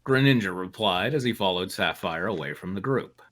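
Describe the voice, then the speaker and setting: A man's voice: deep voice, animated, moderate pace, fairly bright tone. A man narrating a story as a scripted reading, with casual comic asides.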